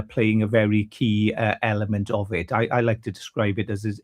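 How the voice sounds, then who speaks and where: A man, speaking as a guest on a breakfast radio show. His voice sits a little low, and he talks haltingly, with many fillers and restarts. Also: a little mellow. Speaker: festival committee vice chair. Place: radio studio.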